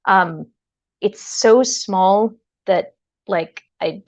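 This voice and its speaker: A woman's voice: mid-range voice, extremely slow, no room sound. A woman livestreamer chatting casually while tinkering, in a hesitant, rambling way with playful asides. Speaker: livestreamer, host.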